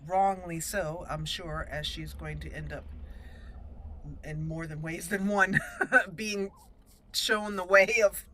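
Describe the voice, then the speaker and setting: Woman doing let's play chatter, gets all excited at fights, noisy game audio under her. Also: on the low side, takes it slowly, long pauses. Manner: chatty, excitable reactions, talks to party members. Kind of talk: casual gameplay commentary.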